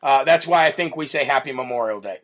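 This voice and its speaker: A man hosting a live online show, speaking casually with a loud voice, rambling through fillers and restarts while reading viewer comments off a computer mic. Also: medium pitch, fast. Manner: self-described loud voice. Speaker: host of a live political show.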